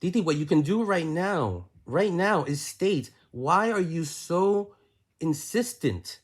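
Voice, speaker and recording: Guy on a phone call pushing and pushing, keeps saying again, kinda high voice. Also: lively intonation, takes it slowly, breathy edge. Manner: insistent, keeps repeating "again" and pressing questions. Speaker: caller questioning his aunt. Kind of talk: heated phone argument.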